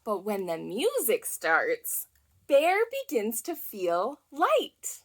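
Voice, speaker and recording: A woman reading picture books aloud to young children as a storytime host, with an expressive, deliberate delivery that leans on key words. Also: mid-range voice. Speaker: children's librarian hosting a storytime.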